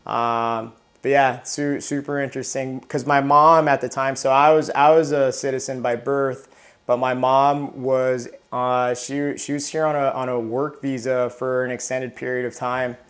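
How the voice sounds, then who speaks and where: A man's voice: mid-range voice, little pitch movement, measured pace. A man in his thirties from Southern California, a retired Marine telling his life story in a casual interview, often restarting and repeating words.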